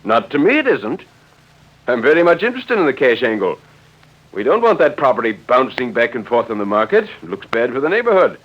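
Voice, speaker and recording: On a dull old radio recording, a man plays an assured gentleman used to taking charge. He sounds polite and businesslike, with a mid-range voice and animated forties drama delivery. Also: medium pitch, brisk. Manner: pragmatic, confident, polite. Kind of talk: 1940s radio drama acting.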